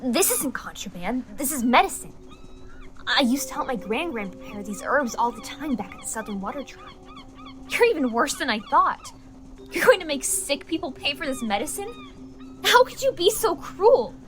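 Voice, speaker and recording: A woman voicing a principled teenage waterbender girl, speaking in an earnest, expressive way, in a cartoon dub. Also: high voice, moderate pace, music in the background. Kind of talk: fan comic dub acting.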